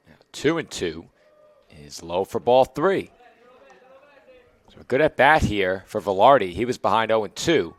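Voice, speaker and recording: A young man with a hoarse, raspy voice, calling baseball play-by-play pitch by pitch. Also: a little slow, long pauses, dry recording. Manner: steady, calls each pitch and count. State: hoarse, losing his voice. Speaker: play-by-play announcer.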